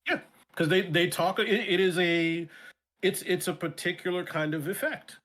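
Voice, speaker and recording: A man with an American New York accent, a guest in a casual interview chat, talking in an animated way and often restarting his words. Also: high voice, moderate pace. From Brooklyn, New York.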